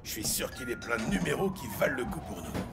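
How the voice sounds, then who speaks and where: An actor playing a gruff, commanding police lieutenant in a French audio drama, curt and coarse in manner. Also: male voice, medium pitch, very animated, fast, over music. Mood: intent, commanding.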